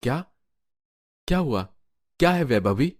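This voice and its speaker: A man playing a mild, placating young husband in an animated story. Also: medium pitch, measured pace, no echo. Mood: puzzled, placating.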